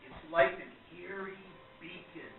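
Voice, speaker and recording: A man narrating a radio play as its moderator, reading the script in a theatrical, deliberate storytelling manner. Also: high voice, level tone, gravelly. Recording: very echoey, distant, tinny, noisy hall.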